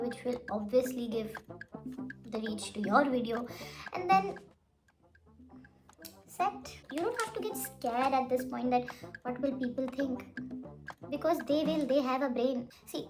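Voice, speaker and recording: A young woman, a Hindi speaker, giving blunt, rambling advice in a casual makeup vlog while she does her makeup. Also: sits high. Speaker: beauty vlogger.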